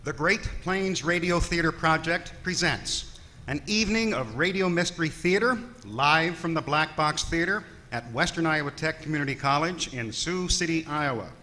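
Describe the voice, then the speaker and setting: A man's voice: a little high, animated, normal speed, pushed and bright. A man announcing a live radio theater evening in a declamatory, scripted old-time radio style. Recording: echoey hall with faint noise.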